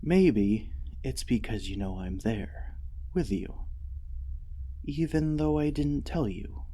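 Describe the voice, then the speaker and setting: A man voicing a gentle, devoted mech AI in a soft, soothing, earnest ASMR roleplay. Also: medium pitch, animated, slow. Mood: tender, consoling. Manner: soothing, earnest, hesitant, often dropping to a whisper. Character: gentle, devoted mech artificial intelligence comforting its pilot.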